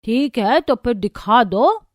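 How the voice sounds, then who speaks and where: A woman's voice: a little high, expressive, slow pace. A woman voicing a homely, bossy elderly grandmother in a Hindi cartoon family story. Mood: requesting. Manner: homely, instructing.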